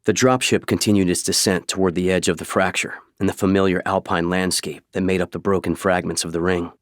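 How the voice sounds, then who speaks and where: Male narrator, lowish pitch, scripted fiction reading, audiobook style, dry studio sound. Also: flat delivery, brisk, dry recording.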